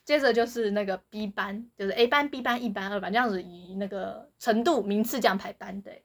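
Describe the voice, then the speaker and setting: A woman's voice: mid-range voice, a little fast. A teenage girl with a Taiwanese Mandarin accent, giggly, casually retelling a story in a chatty way. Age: teens. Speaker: book reviewer retelling the plot.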